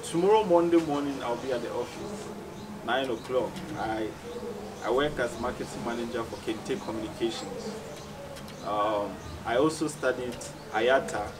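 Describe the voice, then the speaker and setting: A man with a Cameroonian accent giving reflective, measured interview answers as a musician, often repeating phrases for emphasis. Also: medium pitch. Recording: distant mic, clearly noisy background ambience.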